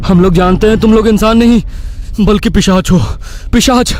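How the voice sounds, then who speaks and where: Man acting a high-voiced, desperate young brother. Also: fairly even intonation, moderate pace, darkish tone, close to an everyday voice. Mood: defiant. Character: desperate young brother facing flesh-eating vampires. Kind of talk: dramatic dialogue in an animated horror story.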